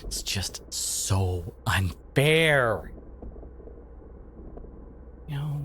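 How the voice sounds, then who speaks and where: A man playing a devoted, protective boyfriend in an intimate ASMR audio roleplay, speaking haltingly with sighs and whispers, emotional and tender. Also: mid-range voice, a little hiss or hum, lightly acted. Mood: frustrated, hurt. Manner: halting, sighing, slipping into breathy whispers.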